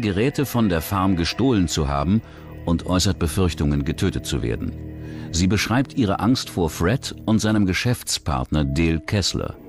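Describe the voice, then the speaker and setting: A man reading a scripted true-crime documentary as its narrator. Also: low-pitched.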